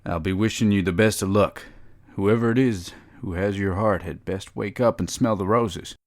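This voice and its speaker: A man's voice: lowish voice, moderate pace, dry recording, close to an everyday voice. A man playing an earnest, humble cowboy suitor, folksy and courteous, in an audio drama. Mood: gracious disappointment.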